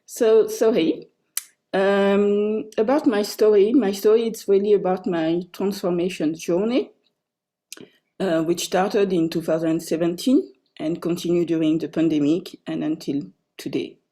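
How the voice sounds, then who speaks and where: A woman speaking English with a French accent, her first language being French, and a mellow, warm tone. She talks haltingly with frequent ums and uhs as she tells her story, heard over a video call. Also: on the low side, fairly even intonation. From France. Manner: hesitant, many ums and uhs. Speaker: co-author at a book launch. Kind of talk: casual online talk.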